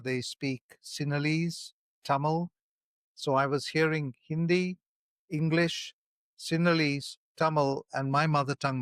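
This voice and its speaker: A man from India, a podcast guest who speaks in a measured way with some stammering repeats. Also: mid-range voice, a bit bright, no room sound. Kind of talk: podcast interview.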